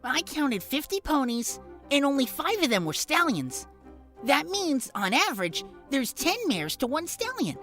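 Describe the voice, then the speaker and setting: A woman's voice: high voice, big pitch swings, unhurried, fairly bright tone, full character voice. A woman voicing a clever, stubborn but laid-back schoolboy pony colt in a cartoon fan audio story. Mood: musing. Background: music.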